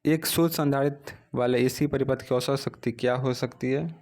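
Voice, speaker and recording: A man at a medium pitch works through exam questions one after another. He reads each question, then gives the answer in a steady drilling rhythm. The sound comes from a phone recording made indoors. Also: moderate pace. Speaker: exam coaching teacher. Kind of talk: exam practice lesson.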